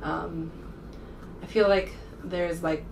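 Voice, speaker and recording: A woman's voice: fairly low pitch, steady tone, slow, vocal fry. A woman from the United States talking casually as a vlogger, rambling informally and hesitantly as she thinks aloud. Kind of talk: casual rambling vlog talk to camera. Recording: distant mic with faint room noise.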